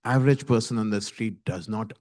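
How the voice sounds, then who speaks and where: A man speaking as a conference panelist in a deliberate, emphatic way. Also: fairly low pitch, a little mellow, no room sound, clean studio sound. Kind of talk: panel discussion remarks.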